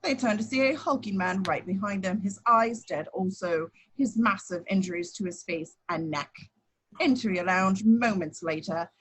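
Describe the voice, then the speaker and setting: A woman's voice: medium pitch, moderate pace, husky, rough recording. A woman reading scripted action descriptions as the narrator of a screenplay table read.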